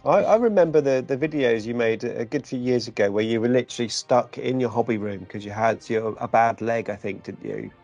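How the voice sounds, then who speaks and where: A man's voice: medium pitch, brisk, creaky, hardly any pauses, darkish tone, highs cut off. A man hosting a hobby podcast, asking friendly questions in a casual chat. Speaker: podcast host.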